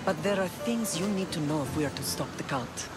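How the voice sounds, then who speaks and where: A greek mom leader in a game, lowish voice, steady and earnest, loud music behind her. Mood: tender, earnest. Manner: composed, earnest, measured. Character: resolute, caring Greek mother and seasoned leader. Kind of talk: dramatic video game cutscene acting.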